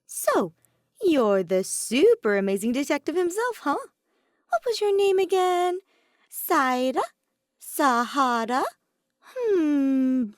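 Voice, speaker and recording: A woman voicing a cocky, mischievous teenage boy who talks loud and proud in a teasing way, in a fan fiction audiobook. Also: high-pitched, very animated, takes it slowly, pauses often, no echo, studio quality, pitched above the performer's own voice, exaggerated voice. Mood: brash, excited. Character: cocky, mischievous teenage boy, a self-styled supreme leader.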